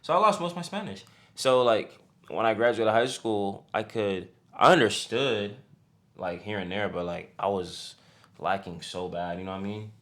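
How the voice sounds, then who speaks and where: A young man with a very low voice talks slowly and easily in a casual podcast conversation. His speech is loose and slangy, and it rises into emphatic bursts when he makes a point. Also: a bit bright. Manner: laid-back slangy talk, emphatic bursts. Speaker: podcast co-host. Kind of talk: casual chat. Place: home podcast setup.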